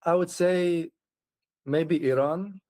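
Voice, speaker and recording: A man's voice: fairly high pitch, slow, mellow, no room sound, studio quality. A man chatting casually as an interview guest, often laughing and restarting his sentences. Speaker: traveler guest.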